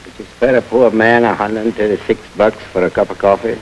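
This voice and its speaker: A man doing a deep-voiced menacing gangster. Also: steady tone, a little fast, muffled recording, a little hiss or hum, lightly acted. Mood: threatening. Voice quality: gruff, threatening. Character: menacing male gangster robber, tough. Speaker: stickup man. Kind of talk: 1940s radio acting.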